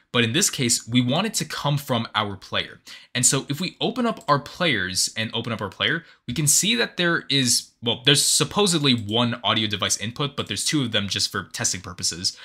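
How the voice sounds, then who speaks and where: Male tutorial host, bright voice, very lively intonation, patient step-by-step explanations, casual screen-recording narration. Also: mid-range voice. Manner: patient, step-by-step explaining with emphasis on key words. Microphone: microphone, voice-over of screen recording.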